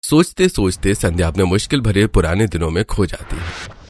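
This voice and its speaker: A man's voice: sits low, animated, normal speed, darkish tone. A man narrating a scripted family story as its storyteller.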